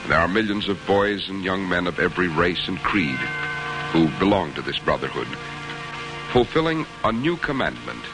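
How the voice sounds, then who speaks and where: A man's voice: low-pitched, big pitch swings, moderate pace, low-quality recording. An American man reading scripted narration as a mid-century radio announcer. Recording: old radio broadcast under loud music. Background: loud music.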